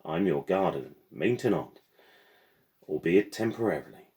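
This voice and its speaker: A man's voice: low voice, expressive, a little slow, darkish tone. A man voicing a haughty, strict French woman guardian in a storybook, imperious and disapproving. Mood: stern. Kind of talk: storybook character voice.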